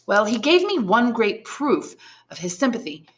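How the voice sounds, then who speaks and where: A woman's voice: fairly high pitch, sing-song, normal speed, pitched above the performer's own voice. A woman reading the part of an earnest, naive young male prisoner in candid, emphatic phrases. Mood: candid, trusting.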